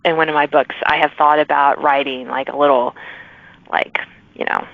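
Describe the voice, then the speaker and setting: A woman's voice: deep voice, flat delivery, moderate pace, creaky, dry recording. A woman hosting a podcast interview, chatting casually and often restarting her sentences. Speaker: podcast host. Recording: dull lo-fi dry recording.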